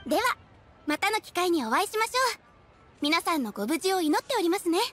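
A woman voicing a polite, gentle young receptionist in an anime-style game, speaking formally and courteously. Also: very high-pitched, big pitch swings, fast, exaggerated voice. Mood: gently encouraging. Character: polite, gentle young female guild receptionist in maid uniform. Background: background music.

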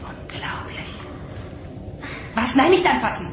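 A woman's voice: high voice, expressive, takes it slowly, in a whisper. A woman voicing a cautious young boy in a radio play, wary with a dry sense of humor. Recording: echoey room with loud music.

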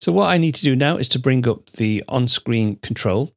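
A man hosting a computer tutorial, explaining steps in a measured, steady way on a studio microphone. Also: mid-range voice, vocal fry, dry recording. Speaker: tutorial host. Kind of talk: screencast software tutorial narration.

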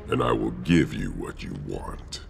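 A man playing an imprisoned titan warlord in a video game, cold, sly and commanding, with a gravelly, imperious delivery. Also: extremely low pitch, expressive, soft background music, exaggerated voice. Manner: slow, imperious, deliberate. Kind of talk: video game villain acting.

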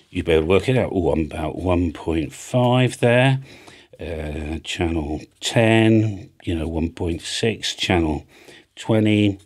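An older man with a British accent giving a casual, off-the-cuff tutorial, chatty and blunt, often thinking aloud. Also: low-pitched. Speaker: radio hobby video presenter. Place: home music studio room with drum kit. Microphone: large studio microphone on an arm, close by.

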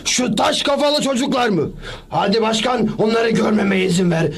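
A man voicing an agitated, scheming official in an animated cartoon dub, with a creaky, gravelly voice. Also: high voice, moderate pace, heavily acted. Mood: urging.

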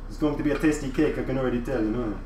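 A man's voice: mid-range voice, very fast, darkish tone, a little hiss or hum, natural voice. A man streaming live from a kitchen, putting on a vulgar, boastful French chef character with a put-on French accent, rambling and repeating "you know". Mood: cocky. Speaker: livestreamer. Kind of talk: casual livestream chat in character. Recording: roomy, distant mic, faint ambience.

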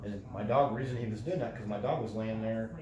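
A man's voice: lowish voice, fast. A man telling stories in casual, heavily profane chat, recorded by a phone across a living room. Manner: profane, animated retelling with acted-out quotes. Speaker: storyteller. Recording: roomy, distant phone recording, background chatter.

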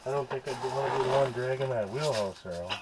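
A man's voice: mid-range voice, fast talker, very noisy. A man trading dry jokes while ice fishing in a shelter. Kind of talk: casual banter while fishing.

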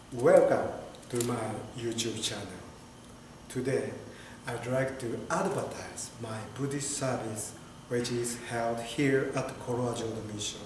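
Slow-speaking Japanese priest in an echoey hall. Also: male voice, medium pitch, a little mellow. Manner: simple, careful English with small grammar slips. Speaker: Buddhist priest. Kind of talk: informal video talk to camera. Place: temple hall indoors, standing before an altar. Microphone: camera a few steps away. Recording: very echoey room with clear background noise.

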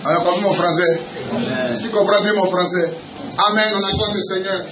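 A man's voice: sits high, steady tone, brisk. A man preaching a sermon as a prophet, with an Ivorian French accent, repeating phrases emphatically and quizzing the congregation. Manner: emphatic, repetitive, questions the crowd. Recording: roomy, distant, muffled, noisy.

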